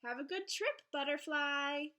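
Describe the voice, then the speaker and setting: A woman teacher reading aloud to young children in an encouraging, expressive storybook manner. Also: sits high, unhurried. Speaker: elementary school teacher. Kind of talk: children's read-aloud lesson.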